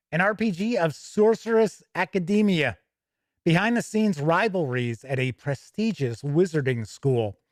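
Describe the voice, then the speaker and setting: A man's voice: sits high, dry recording, studio quality. A man hosting a tabletop gaming news stream, reading items aloud casually and adding chatty, informal asides.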